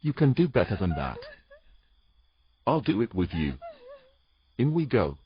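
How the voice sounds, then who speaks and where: An actor playing a tender, protective man with a husky voice and a gentle, coaxing manner in an intimate fantasy romance. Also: on the low side, big pitch swings, measured pace, dull audio, a little hiss or hum. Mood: soothing. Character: tender, protective human man soothing a mermaid.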